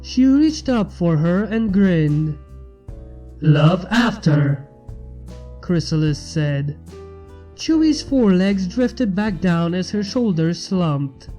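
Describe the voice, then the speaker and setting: A woman's voice: fairly low pitch, animated, slow pace, mellow, faint music behind. A woman reading fan fiction aloud as scripted story narration.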